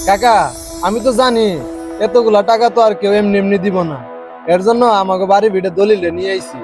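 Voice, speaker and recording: A man's voice: high voice, steady tone, brisk, lightly acted. A man acting a devoted, earnest village elder brother in a drama, with a rural Bangladeshi accent. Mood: humble, pleading. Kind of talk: naturalistic Bengali TV drama acting. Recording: music behind the dialogue.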